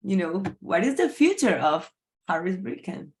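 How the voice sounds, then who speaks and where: A woman's voice: fairly low pitch, big pitch swings, normal speed. A woman interviewing a guest, encouraging him and laughing often. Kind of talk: interview questions.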